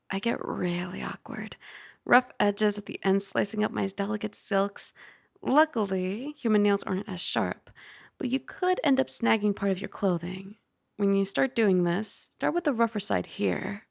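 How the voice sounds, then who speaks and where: A woman playing a caring, teasing dragon girl roommate in an ASMR roleplay, soft, chatty and gently instructive. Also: medium pitch, normal speed, vocal fry, dry recording, clean studio sound. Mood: gentle, reassuring.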